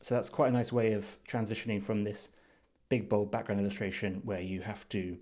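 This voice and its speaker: Male reviewer, lowish voice, unscripted walkthrough delivery, measured and thoughtful, as if working it out while talking. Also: level tone, darkish tone. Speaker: design reviewer. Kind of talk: unscripted screen-share commentary.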